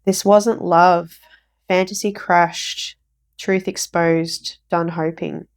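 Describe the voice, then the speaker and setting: A woman giving a casual tarot reading for viewers, croaky from a recent flu, rambling and repeating herself. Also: on the low side, fairly even intonation, takes it slowly. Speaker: tarot reader.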